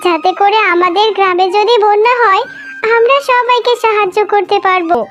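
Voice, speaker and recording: A woman's voice: very high voice, fairly even intonation, moderate pace, a bit bright, faint music behind. A woman voicing a caring, earnest young jackal wife in a cartoon. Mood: earnest, insistent. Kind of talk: cartoon dialogue.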